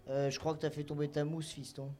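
Guy, voice a bit high, slangy joker tossing in wry little remarks on a radio chat. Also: flat delivery, fairly quick, dark tone. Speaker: musician guest on a live radio show. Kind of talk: casual joking chat.

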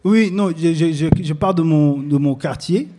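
An American man answering interview questions in halting French, with many pauses and restarts, at a public recording. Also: on the high side, background noise. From United States. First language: English. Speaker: guest artist. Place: public recording at an arts space.

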